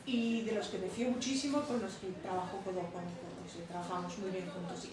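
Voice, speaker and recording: A woman's voice: medium pitch, steady tone, brisk, a crowd in the background. A woman publisher talking at a public interview, hesitant with many fillers and restarts. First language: not Catalan. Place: bookshop event room. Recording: echoey hall, distant mic, noisy.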